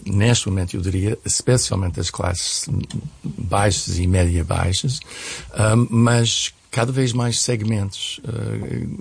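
Guy middle aged on radio panel, gravelly voice, dry close sound, hesitates a lot. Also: lowish voice, darkish tone, dry recording. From United States. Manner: hesitant, searching for words. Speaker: guest commentator on a radio programme. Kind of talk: conversational analysis in a radio discussion.

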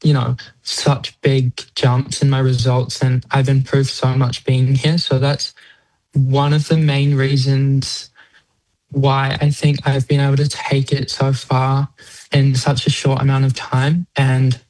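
Young New Zealand athlete, fairly even-toned. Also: mid-range voice, slow pace, no room sound, low-quality recording. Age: late teens, young adult. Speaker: competitive swimmer being interviewed. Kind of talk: interview answers, casual conversational.

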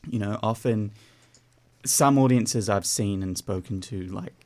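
Man, young adult, New Zealand accent, lower voice, hesitant, radio interview guest. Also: measured pace, a little mellow. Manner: hesitant, many ums and restarts. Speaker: percussion student guest.

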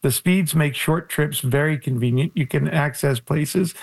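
Creaky-voiced man explaining. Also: a little high. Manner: measured, builds points step by step. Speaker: expert guest. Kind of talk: explanatory interview answer.

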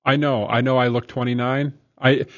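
Man, forties, guest radio host, casual chat, medium pitch, slightly dull broadcast audio. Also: flat delivery, moderate pace. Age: 40s.

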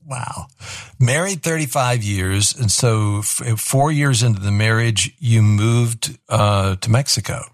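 A man's voice: on the low side, slow pace, no echo, studio quality. A man hosting a faith interview, conversational and prone to short preaching asides. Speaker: interview host.

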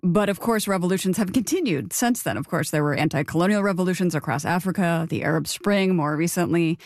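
A radio host with a middle-pitched voice asks curious, conversational questions. The recording is dry and close. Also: fairly quick, dry recording. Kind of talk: radio interview and presenting.

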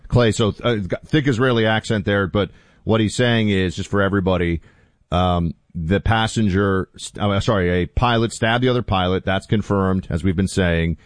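Male talk radio host, dry studio sound, gets heated and indignant fast. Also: no echo. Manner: heated, indignant, voice climbing high when outraged.

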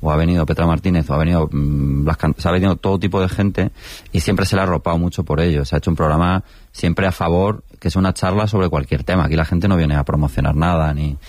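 A man's voice: low-pitched, fairly even intonation, fast, a little mellow, no room sound, clean studio sound. A man who writes for a comedy show, chatting informally in an interview and checking for agreement as he goes. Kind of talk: casual interview chat.

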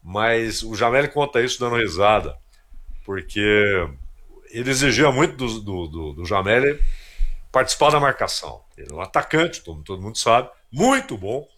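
Man, Brazilian accent, sports commentator, casual storytelling, halting chatty phrasing, lively intonation, medium pitch.

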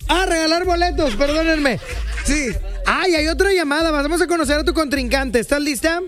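A high-pitched man runs a comic radio show, teasing and joking with callers. Music plays clearly behind him. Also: moderate pace, a bit bright. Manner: teasing, joking, laughs often. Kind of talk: comic morning radio banter.

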